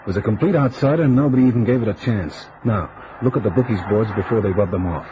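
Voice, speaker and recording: A man acts out a confident, easygoing private detective from an old radio mystery. His voice sits fairly low and his talk is slangy and knowing. The recording is dulled, with clear hiss. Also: fast talker, lo-fi sound, natural voice. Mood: knowing, measured. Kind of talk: vintage radio detective drama acting.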